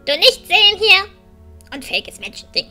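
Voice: extremely high pitch, big pitch swings, normal speed, pushed and bright, faint music behind, exaggerated voice. An actor voicing a small, cheeky, grumbling male dragon, pushy and whiny, speaking in broken grammar in a fantasy audio drama. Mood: cheeky, huffy.

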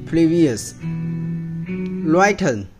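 Very slow-talking man with Chinese accent. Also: fairly high pitch, sing-song, music in the background. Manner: clipped short phrases. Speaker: product demonstrator. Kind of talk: terse step-by-step product demonstration.

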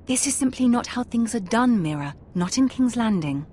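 An actress playing a poised, cautious young noblewoman in a fantasy video game, measured and formal in manner. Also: fairly high pitch, expressive, a little mellow, a little hiss or hum, lightly acted. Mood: firm, cautious. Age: young adult. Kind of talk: dramatic video game acting.

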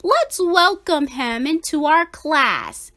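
A woman's voice: high-pitched, big pitch swings, takes it slowly, pushed and bright, voice pushed up, heavily acted. A woman voicing a big, round female cat schoolteacher in a storybook, emphatic and cheerfully authoritative. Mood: cheerful, proud. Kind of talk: storybook read-aloud character.

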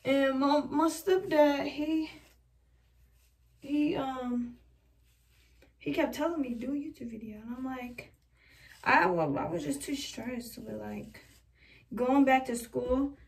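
Young adult woman vlogger, rambling casual chat, slightly high voice, picked up from a few feet away by the camera. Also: slow, gravelly, pauses often. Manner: rambling, chatty, talks while styling her hair. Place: small bedroom indoors. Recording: voice a bit far from the mic.

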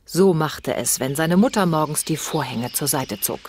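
A woman's voice: low voice, lively intonation, moderate pace, darkish tone, breathy edge. A woman reading a children's story as scripted narration, expressively acting out the dialogue.

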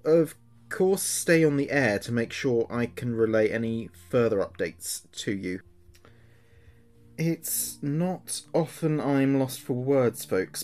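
A man plays an earnest late-night DJ on a small local station in a horror radio play. His mid-range voice is uneasy and hesitant, and he picks his words haltingly as he speaks to his listeners. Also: mid-range voice, a little mellow, lightly acted. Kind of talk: radio horror audio drama.

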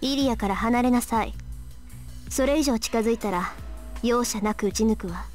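A woman's voice: a little high, fast, a little mellow, soft background music, lightly acted. An actress playing a stern, confrontational teenage girl in a Japanese visual novel. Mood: cold threat.

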